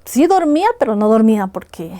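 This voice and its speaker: A woman's voice: on the high side, big pitch swings, fairly quick, warm tone. A woman from Ecuador, earnestly recounting hard personal experiences as a guest on a podcast. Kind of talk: personal testimony in a podcast interview.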